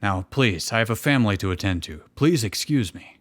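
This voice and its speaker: A man's voice: fairly low pitch, lively intonation, brisk, no room sound. A man voicing a weary, irritated father in a comedic fan fiction reading. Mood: annoyed. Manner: curt, irritated.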